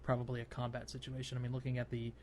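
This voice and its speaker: A man's voice: mid-range voice, monotone, rapid-fire, darkish tone. Man hosting a podcast over noticeable noise. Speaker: podcast host. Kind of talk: casual gaming podcast chat.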